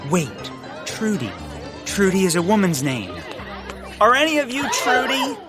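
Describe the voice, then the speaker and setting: A woman playing a hapless, excitable male detective in a comedic period audio drama. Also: mid-range voice, unhurried, over music, a crowd in the background. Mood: excited. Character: hapless, excitable, bumbling male sleuth in a comic mystery.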